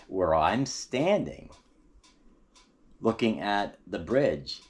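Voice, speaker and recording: A man's voice: fairly low pitch, sing-song, drawn-out words. An American man telling local stories as a vlogger in a casual, folksy, chatty way, talking straight to his viewers. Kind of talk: casual storytelling vlog about local history. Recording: noisy, with ambient vehicle sounds.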